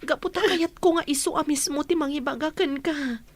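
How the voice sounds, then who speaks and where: An actress playing a gentle young Filipino woman making peace in a radio drama. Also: sits high, brisk, dry recording, lightly acted. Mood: apologetic, soothing.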